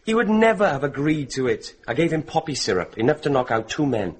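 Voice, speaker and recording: Husky, defiant young medieval man. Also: medium pitch, big pitch swings, brisk. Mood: pleading resolve. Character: defiant, self-sacrificing young man shielding his cousin. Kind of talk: radio drama acting.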